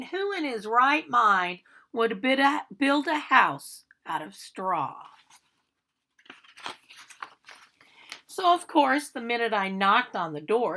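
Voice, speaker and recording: A woman's voice: fairly high pitch, pushed and bright, exaggerated voice. A woman voicing an aggrieved male big bad wolf, telling his own storybook tale in a chatty, theatrical way and protesting his innocence. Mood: indignant, chatty. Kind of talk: animated storybook read-aloud.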